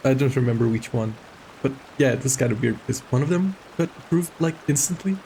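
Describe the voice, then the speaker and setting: A man's voice: medium pitch, animated, brisk, vocal fry, dark tone, slight background noise, rough recording. A man chatting casually as a game stream host, rambling and hesitant, prone to giggling. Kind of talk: casual livestream chat.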